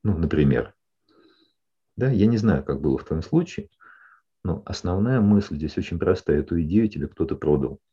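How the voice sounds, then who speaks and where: Low, dark-voiced husky man counseling. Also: moderate pace, highs cut off. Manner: calm, explanatory, often checks in with "да?". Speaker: psychologist. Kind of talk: counseling session.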